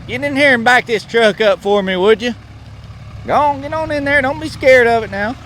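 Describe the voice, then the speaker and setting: A man's voice: extremely high pitch, moderate pace, a bit bright, a little hiss or hum. A man playing a boastful, folksy country cowboy in a comedy skit, with a drawling American Southern accent. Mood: bossy, gruff. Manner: drawling, folksy, bragging, calls listener son.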